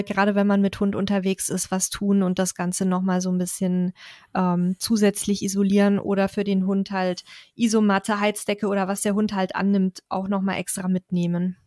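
A woman's voice: medium pitch, level tone, normal speed, a little mellow, no echo, studio quality. A woman in her thirties co-hosting a podcast, explaining things in a chatty way with fillers. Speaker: podcast co-host. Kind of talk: casual advice chat. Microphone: headset and studio mic.

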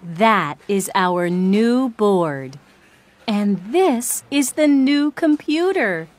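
A woman voicing a friendly young teacher who speaks patiently in an animated children's story. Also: medium pitch, drawn-out words.

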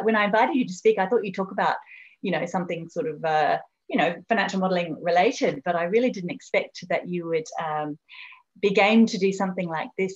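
Low-voiced woman hosting a video call. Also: highs cut off. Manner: chatty, trailing fillers. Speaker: meetup host. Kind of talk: introducing a guest.

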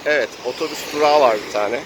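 A man's voice: medium pitch, fast talker. A man narrating an improvised tabletop adventure as game master, in a theatrical storytelling way. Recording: noisy outdoor recording with traffic ambience.

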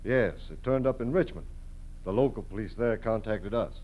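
An American actor playing a brisk, businesslike policeman in a 1940s radio drama. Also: on the low side, lightly acted. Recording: dull lo-fi vintage radio.

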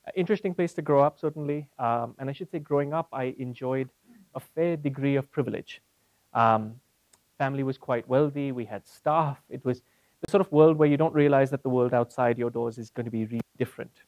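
A man's voice: mid-range voice, brisk, no echo, lo-fi sound. A man giving a conversational, articulate lecture as a guest academic speaker. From India (Bombay). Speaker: guest speaker, university psychology professor. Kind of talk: conference lecture with personal storytelling. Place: university classroom, speaking to a small seated audience.

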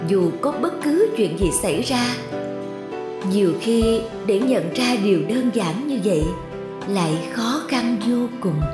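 A woman's voice: on the high side, lively intonation, a little slow, reverberant, over music. A woman reading scripted narration for a moral story.

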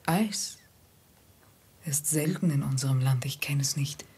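A very breathy, mysterious veiled seductress. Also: female voice, rumbling low, full character voice. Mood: seductive, hushed. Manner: slow, hushed, languid, intimate. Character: mysterious, seductive, secretive veiled woman of Arabian tale. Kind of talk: 1950s German radio drama acting.

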